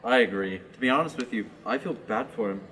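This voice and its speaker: A teenage student actor playing a patient, kind young adventurer in a radio play, steady and reasonable. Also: male voice, medium pitch, fairly quick, a little hiss or hum, close to an everyday voice. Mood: thoughtful. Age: teens. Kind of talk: amateur radio play acting.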